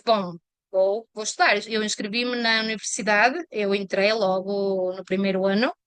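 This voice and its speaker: Portuguese-accented woman, smooth dry voice. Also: medium pitch, a bit bright, no echo. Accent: European Portuguese. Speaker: Portuguese teacher. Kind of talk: interview guest telling her career story. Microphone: video call.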